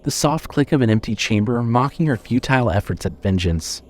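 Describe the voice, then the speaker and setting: A man narrating scripted horror fiction in a measured, dramatic storytelling manner. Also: medium pitch, animated, noisy background.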